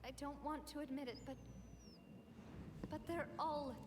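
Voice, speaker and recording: Game role: dazed, grieving young lady, very high voice over noisy captured audio. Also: steady tone, unhurried. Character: dazed, grief-stricken young noblewoman haunted by her dead companions.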